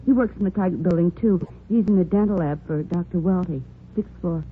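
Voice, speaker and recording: A woman's voice: lowish voice, a little fast, lightly acted. An actress playing a polite, concerned young working woman, earnest and cooperative, in 1950s radio crime drama style. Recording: tinny, faintly noisy old radio recording.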